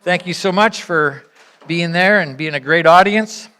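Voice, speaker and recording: A woman speaking earnestly and in measured phrases as she leads a church service, pausing and posing reflective questions to the congregation. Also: lowish voice, a bit bright. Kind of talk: sermon, reflecting on a parable and addressing the congregation.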